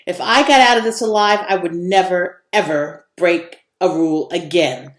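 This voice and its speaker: A woman narrating a children's novel as an expressive read-aloud in an echoey room. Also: lowish voice, normal speed.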